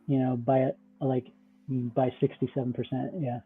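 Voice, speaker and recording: A man's voice: medium pitch, level tone, unhurried, husky, narrow, tinny audio. A man giving casual interview answers as a guest, explaining loosely in conversational filler-laden phrases over thin call audio. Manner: loose, explanatory, peppered with "like" and "you know". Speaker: startup founder, interview guest.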